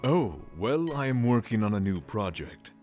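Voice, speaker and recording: Fantasy audio drama: male actor for proud forge god, emphatic formal diction, lively range, soft music bed. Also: lowish voice, normal speed. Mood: guarded courtesy.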